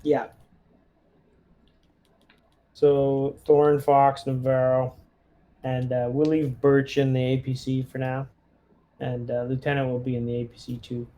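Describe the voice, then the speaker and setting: Mellow man in a casual game session. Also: mid-range voice, level tone, a little slow, long pauses.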